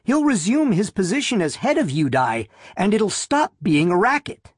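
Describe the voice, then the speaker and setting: A man's voice: high voice, big pitch swings, normal speed, slightly rough, dry recording, clean studio sound, pitched above the performer's own voice, exaggerated voice. A man voicing an earnest, anxious but stubborn young wife in an audiobook story. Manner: earnest, hesitant.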